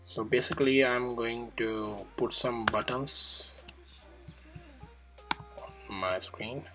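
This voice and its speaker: A man presenting a casual coding tutorial, thinking aloud in a halting way as he demonstrates each step. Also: medium pitch, steady tone. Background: music clearly playing behind the voice.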